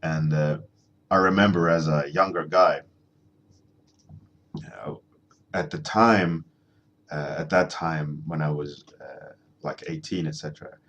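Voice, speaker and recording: A man in his thirties from Sweden with a deep voice, chatting casually and rambling as he streams himself drawing, answering his chat at a desk microphone. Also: steady tone, a bit bright, dull audio. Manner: rambling, halting, many ums and restarts, chuckling, answering chat. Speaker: artist streaming a drawing session.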